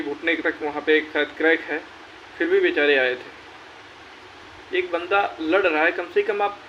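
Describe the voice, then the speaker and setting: A man's voice: a little high, a bit bright. A young man hosting his own channel, urging job candidates to join the fight and repeating phrases for emphasis. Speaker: YouTube channel host. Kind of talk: rallying address to job candidates. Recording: dull lo-fi sound with faint hiss.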